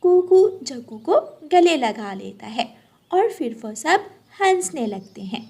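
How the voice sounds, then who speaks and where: A woman's voice: high-pitched, very animated, slow pace, warm tone. A woman narrator reading a scripted children's story.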